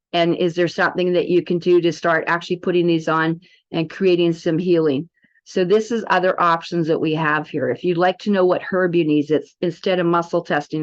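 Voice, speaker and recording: A woman giving an informal software demo as a practitioner, in a chatty, rambling, salesy manner. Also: fairly low pitch. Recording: dull, muffled lo-fi sound.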